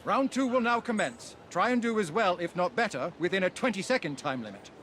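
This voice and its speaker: Bright, high-voiced contest announcer. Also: male voice, big pitch swings, fairly quick, a little hiss or hum. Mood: officious. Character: formal, officious male shooting contest announcer. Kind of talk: formal announcing.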